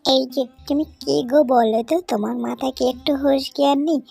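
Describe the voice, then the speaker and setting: Squeaky creaky nagging cartoon wife acted out. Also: fairly even intonation, a little fast, dark tone, faint music behind, full character voice. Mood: scolding. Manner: scolding, demanding. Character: nagging, impatient hungry wife animal in a village cartoon. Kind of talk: Bengali cartoon voice acting.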